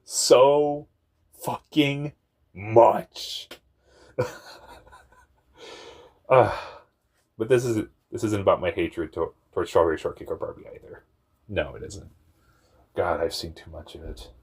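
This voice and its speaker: Deep-voiced young man rambling, slow. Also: steady tone, darkish tone, breathy edge. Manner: rambling and candid, laughing at himself, swearing, tangents. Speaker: vlogger. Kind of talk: personal storytime monologue.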